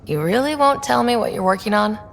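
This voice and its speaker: Creaky-voiced, level-headed space leader. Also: female voice, on the high side, expressive, brisk, big-room sound, a little hiss or hum. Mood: curious, wry. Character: level-headed, friendly young female space expedition leader. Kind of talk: video game character dialogue.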